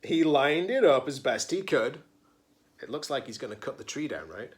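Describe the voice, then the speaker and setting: A man reading a picture book aloud to children as a teacher-librarian, with playful dramatic emphasis, pauses and chatty asides. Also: medium pitch, sing-song.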